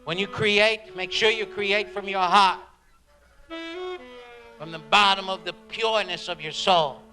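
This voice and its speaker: A man's voice: high voice, steady tone, unhurried, sharp tone, soft background music, exaggerated voice. A man playing a fervent beat writer, delivering a dramatic, emphatic spoken-word monologue about his craft. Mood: earnest, solemn. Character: fervent beat-generation male writer reminiscing and preaching on writing.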